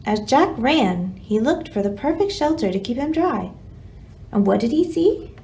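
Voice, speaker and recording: A woman hosting a library storytime, reading a children's picture book aloud in an expressive, dramatic way. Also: fairly high pitch, normal speed, a little hiss or hum.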